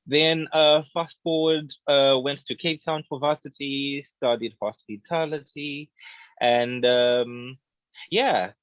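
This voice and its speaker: A man in his thirties from Zimbabwe talks easily as a radio guest, laughing often and circling back over his words. His voice sits at an ordinary middle pitch. The recording is dry and close, with no room sound. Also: takes it slowly, no room sound, low-quality recording. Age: 30s. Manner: relaxed, chatty, laughs easily, repeats words. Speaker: author and guest. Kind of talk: casual radio interview.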